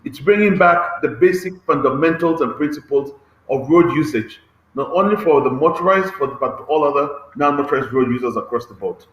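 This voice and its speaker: A man's voice: on the high side, expressive, brisk, dull audio. A man speaking on an online panel as head of a transport agency, explaining earnestly and checking in with "okay?". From Kenya. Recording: roomy echo.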